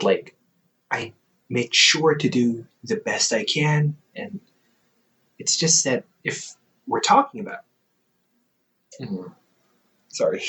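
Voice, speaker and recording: A man's voice: mid-range voice, pushed and bright, exaggerated voice, low-quality recording. A man voicing a playful young adult older brother who works as a company therapist, teasing the listener and laughing often in an audio roleplay.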